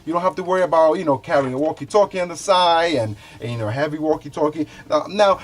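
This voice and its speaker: A man's voice: fairly high pitch, fast talker. A man hosting a casual product review, talking conversationally to viewers and repeating himself loosely as he demonstrates items. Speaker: product reviewer, show host.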